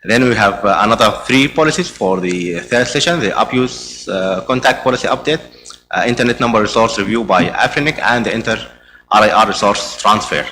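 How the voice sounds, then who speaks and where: Brief: Arabic-speaking man chairing a meeting, hesitant, lower voice, faint noise behind. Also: fairly quick, bright, forceful tone, rough recording. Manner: hesitant, many "uh" fillers and restarts. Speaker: meeting chair presenting the agenda. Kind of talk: conference presentation. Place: conference hall.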